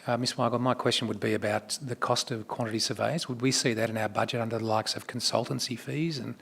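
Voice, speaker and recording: A man's voice: mid-range voice, steady tone, fast talker, vocal fry, fairly bright tone, husky. A man chairing a council meeting, asking brief, businesslike questions.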